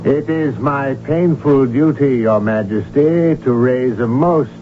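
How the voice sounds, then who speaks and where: An actor as a scheming, deferential court prince. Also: male voice, mid-range voice, slow, a little hiss or hum, close to an everyday voice. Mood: solemn, urgent. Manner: formal, deferential, pressing. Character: scheming, obsequious, insistent prince at imperial court. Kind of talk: 1970s radio drama acting. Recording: muffled, humming old radio broadcast recording.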